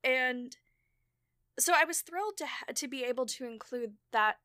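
A chatty woman hosting a podcast, her voice bright and forceful and a little higher than most. She talks loosely, trailing off and restarting, and laughs easily. The sound is dry, with no room around it. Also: moderate pace, no echo. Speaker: podcast host and show creator. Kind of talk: casual podcast conversation.

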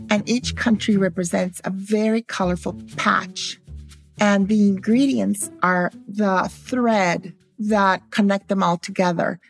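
A middle-aged or older woman from Guatemala, speaking as an interview guest and telling stories about her life and work. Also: mid-range voice. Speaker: guest, food historian and cookbook author. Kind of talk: interview storytelling.

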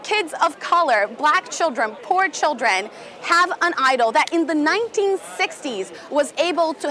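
A woman's voice: high voice, sharp tone. A young woman from Brazil with a Brazilian accent, reporting live for a news channel in an emotional, earnest way. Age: young adult. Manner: emotional, earnest, with some restarts. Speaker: live correspondent.

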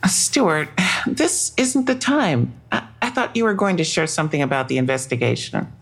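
No-nonsense female detective: lively intonation, gravelly edge, businesslike audio drama delivery. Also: deep voice, moderate pace, soft background music, heavily acted. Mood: mildly impatient. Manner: serious, businesslike. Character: no-nonsense police detective, serious and probing. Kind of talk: full-cast audio drama acting.

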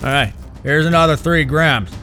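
An actor playing an exasperated, crude staffer at a sinister facility in an audio drama, grumbling and sighing. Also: male voice, on the high side, normal speed, sharp tone, soft background music. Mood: dismissive, brisk. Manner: sighing, grumbling, foul-mouthed.

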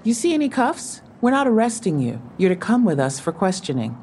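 An actress playing a stern junior police officer in an audio drama. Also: female voice, medium pitch, big pitch swings, brisk, darkish tone, slight background noise, lightly acted. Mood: firm.

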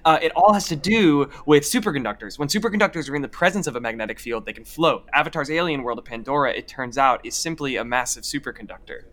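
An eager, nerdy man of the theatre played by an actor in an audio comedy. He explains things earnestly at a rapid pace in a mid-pitched voice. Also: a bit bright, close to an everyday voice. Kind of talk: audio comedy drama acting.

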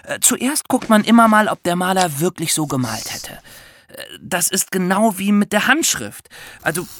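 A woman's voice: lowish voice, lively intonation, moderate pace, dry recording, clean studio sound. A woman co-hosting an educational podcast for children, explaining step by step in a conversational way.

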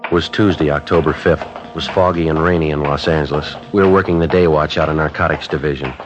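An actor playing a terse police detective sergeant who narrates in clipped, flat sentences, in 1950s radio drama style. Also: male voice, a bass voice, fast talker, over music. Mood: matter-of-fact. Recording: muffled old radio broadcast with hiss.

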